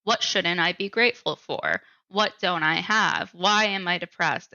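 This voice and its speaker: A woman in her twenties talks candidly as an interview guest in an informal, conversational way. Her voice is bright and forward, though the dry recording sounds a little dull and lo-fi. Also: fairly low pitch, no room sound. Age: 20s. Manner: informal, peppered with "like" and "you know". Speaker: interview guest, PhD student. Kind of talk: candid personal interview answers.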